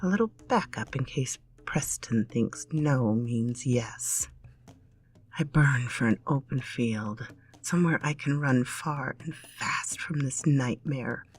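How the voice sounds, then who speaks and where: Storyteller, woman, husky whispery read, intimate. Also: extremely low pitch, slow pace, faint music behind. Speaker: story narrator. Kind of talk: dramatic storybook reading.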